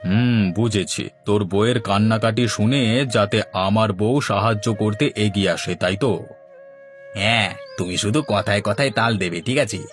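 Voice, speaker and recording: A man's voice: fairly low pitch, steady tone, moderate pace, faint music behind, natural voice. A man playing a weary, scheming village husband in a cartoon, speaking in a plain, earnest manner. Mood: conspiratorial, weary. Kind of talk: Bangla cartoon dialogue acting.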